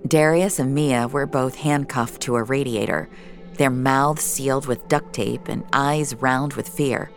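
A woman's voice: extremely low pitch, measured pace, soft background music. A woman narrating a scripted fiction story as its storyteller.

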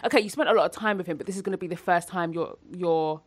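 A young woman hosting a podcast, in relaxed, storytelling chat. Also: on the low side, fast talker, no room sound. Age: young adult. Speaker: podcast host. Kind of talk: casual chat.

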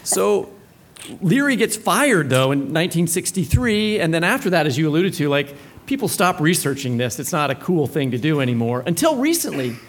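Casting: male podcast co-host, fairly high voice, informal conversational questioning at a live event. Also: very animated, moderate pace. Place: book fair event before an audience.

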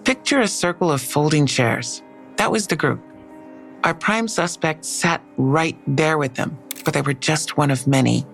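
An actress playing a skeptical police detective in an audio drama, dry and matter-of-fact, with a low, gravelly voice. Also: female voice, measured pace, faint music behind. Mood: briefing, suspicious.

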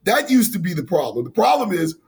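A man in his forties, a college basketball coach, talking earnestly in an interview with a voice that is going hoarse, often restarting his sentences. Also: high-pitched, big pitch swings, a little fast, a little mellow. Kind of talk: interview answers.

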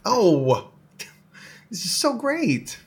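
An eager musical writer in a radio comedy. He gushes upbeat lines with an excitable, swooping delivery. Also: high-pitched, extremely slow, exaggerated voice. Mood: delighted. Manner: gushing, upbeat. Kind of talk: scripted radio play acting.

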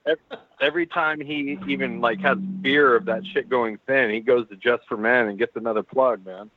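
A man's voice: mid-range voice, steady tone, fairly quick, slight background noise. A man co-hosting a podcast, joking and restarting his sentences. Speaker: podcast co-host. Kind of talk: casual podcast banter. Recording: dry, tinny phone-like audio.

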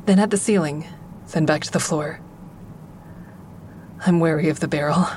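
A woman's voice: sits low, moderate pace, a little mellow, a little hiss or hum, natural voice. An actress playing an embittered, grieving mother who narrates her own story in an audio drama with cold, guarded intensity. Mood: wary, tense. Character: embittered woman narrating her grief and rage, a bereaved mother.

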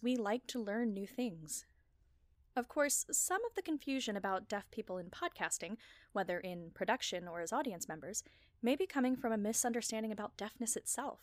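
A woman delivering a scripted personal talk as a podcast creator, with measured, articulate phrasing and deliberate emphasis. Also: medium pitch, no echo, clean studio sound.

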